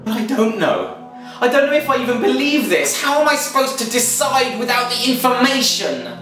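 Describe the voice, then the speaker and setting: A man's voice: very high voice, big pitch swings, moderate pace, heavily acted. An actor playing a confused, anguished man held captive with no memory, hesitant and pleading, in a one-act drama. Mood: doubting. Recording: very echoey, distant, loud music behind.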